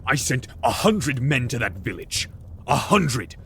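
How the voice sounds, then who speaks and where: A man playing an irascible, blustering king in a fantasy audio drama, gruff, emphatic and imperious. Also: mid-range voice, very animated, a little slow, fairly bright tone, slight background noise, full character voice. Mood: indignant.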